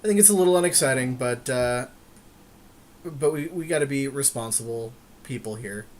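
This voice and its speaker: Guy with a mid pitched voice joking around about a game, casual podcast chat, bit noisy. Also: normal speed. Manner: informal, jokey, repeats short phrases. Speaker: co-host of a gaming podcast. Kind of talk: casual game commentary, banter.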